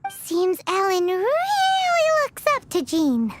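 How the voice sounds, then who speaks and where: A woman voicing a tiny childlike fairy companion in an anime video game, excitable and innocent, with a squeaky voice, speaking of herself in the third person. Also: takes it slowly, very noisy, exaggerated voice. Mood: curious. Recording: game music behind the voice.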